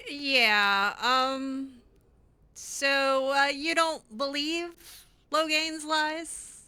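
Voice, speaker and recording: A woman's voice: high-pitched, steady tone, drawn-out words, bright, forceful tone. A woman giving casual, in-character roleplay commentary on a video game, sarcastic and exasperated. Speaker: gamer playing in character.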